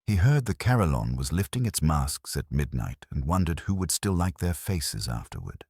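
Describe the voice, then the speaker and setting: Deep-voiced wry detective's legman played by a man. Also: sing-song, normal speed, a little mellow, husky, dry recording, exaggerated voice. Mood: cool, wry. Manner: dry, cool, wisecracking. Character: wry, unflappable male private detective's legman.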